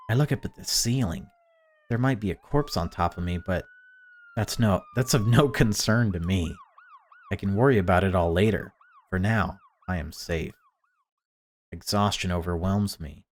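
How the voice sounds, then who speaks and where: A man hosting a gaming video, reading a visual novel aloud and commenting on it casually. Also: low voice.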